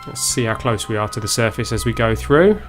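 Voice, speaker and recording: A man's voice: on the low side, expressive, a little fast, over music. A man from Essex with a southern English accent, hosting a live gaming stream in a casual, unscripted way, often muttering to himself as he plays. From Essex, England. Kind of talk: casual live gameplay commentary.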